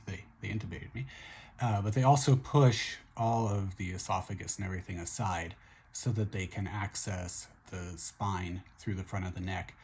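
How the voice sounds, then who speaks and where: A middle-aged man with a hoarse voice talks casually at home in a video diary. His voice sits very low and sounds husky and rough. He is relaxed and upbeat, with many fillers and restarts. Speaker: vlogger recovering from surgery.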